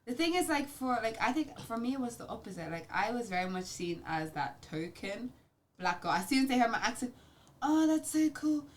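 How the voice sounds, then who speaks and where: A young woman co-hosting a podcast, talking in an expressive, slangy casual way. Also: fairly high pitch, a little fast, slight background noise. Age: young adult. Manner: expressive, slangy, laughs readily. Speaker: podcast co-host.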